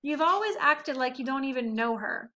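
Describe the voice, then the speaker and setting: A woman's voice: high-pitched, expressive, moderate pace, pushed and bright, muffled recording. A woman reading the lines of an earnest teenage boyfriend in a storybook, direct and emphatic, easily annoyed but caring.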